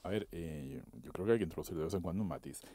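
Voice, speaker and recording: A male panellist argues politics in a fairly low voice. He speaks fast, interjects corrections and sounds close and dry on the microphone. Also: warm tone, slightly rough, dry recording. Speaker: radio panellist. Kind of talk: political debate.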